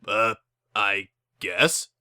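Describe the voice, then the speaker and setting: A man's voice: on the low side, animated, bright, forceful tone, clear, resonant tone, dry recording, clean studio sound, full character voice. Man doing a dim, baffled stallion tough guy. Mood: bewildered. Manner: slow, hesitant, dopey dude talk. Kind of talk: dramatic reading of fan fiction.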